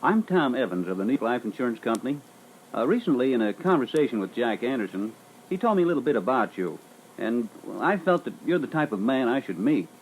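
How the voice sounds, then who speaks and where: A man's voice: medium pitch, sing-song, a little fast. A man playing a polite, businesslike and persistent life insurance salesman in a scripted phone-call role-play. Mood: courteous, persistent. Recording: noisy old reel-to-reel training record.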